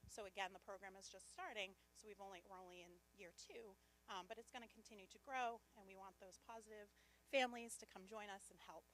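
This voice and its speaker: A woman's voice: mid-range voice, steady tone, brisk, fairly bright tone, slight background noise, poor audio. A woman speaking casually and enthusiastically as a parent at a school information session. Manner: upbeat, chatty, laughs often. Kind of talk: casual testimonial.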